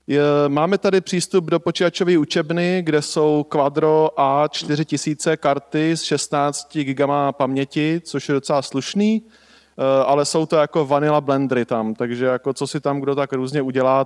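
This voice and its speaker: A man's voice: on the high side, clear, resonant tone. Chatty man with a handheld mic. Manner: loose and chatty, many fillers and jokes. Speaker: conference host. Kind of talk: casual welcome speech. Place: large lecture hall. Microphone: handheld microphone.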